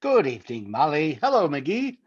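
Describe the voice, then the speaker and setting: Man acting a courteous mayor, muffled, animated delivery. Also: on the high side, normal speed, slightly rough, heavily acted. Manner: polite, formal. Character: courteous, earnest male small-town mayor. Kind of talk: old-time radio comedy acting. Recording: dull, muffled lo-fi sound.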